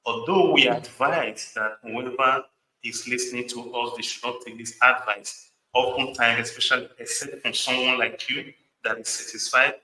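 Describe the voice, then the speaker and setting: A man's voice: mid-range voice, steady tone, normal speed, pushed and bright, roomy sound, far from the mic, rough recording. A man hosting a podcast interview, formal and wordy, often repeating himself. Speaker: podcast host.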